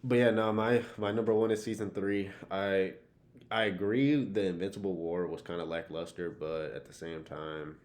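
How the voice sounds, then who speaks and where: A man's voice: low voice, fairly even intonation, moderate pace, darkish tone. A man co-hosting a podcast in casual chat, blunt and slangy, swearing freely. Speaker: podcast co-host. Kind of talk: casual chat, TV show discussion.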